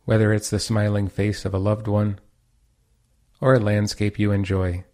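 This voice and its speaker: A man leading a guided meditation as teacher, speaking in a calm, soothing, unhurried manner. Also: lowish voice.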